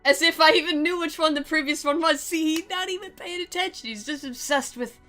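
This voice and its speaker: A woman's voice: high-pitched, brisk, pushed and bright, faint music behind. A woman giving sarcastic commentary while reading a visual novel aloud in a mock-dramatic way. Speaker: game commentator and reader.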